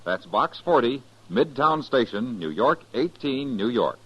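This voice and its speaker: A man's voice: medium pitch, very animated, a little slow, slightly rough. A man with an American accent reading as a formal, emphatic 1950s radio announcer. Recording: muffled vintage radio broadcast.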